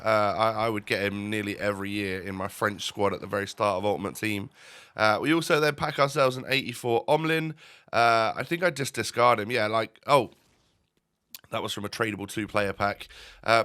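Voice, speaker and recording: Young guy, bunged up and nasal, bright voice, rambles with loads of ums and restarts. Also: mid-range voice. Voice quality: nasal, congested. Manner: rambling, many fillers and restarts. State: stuffy, bunged up. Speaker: gaming YouTuber. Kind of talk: casual gameplay commentary.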